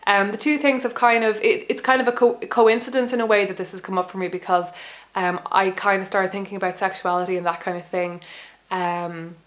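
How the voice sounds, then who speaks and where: A young Irish woman in her twenties, bilingual, talking to camera in a casual, reflective way with many pauses, restarts and small laughs. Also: mid-range voice, fairly even intonation. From Ireland. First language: bilingual Irish speaker. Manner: hesitant, many ums and restarts, soft laughs. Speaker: pagan video blogger.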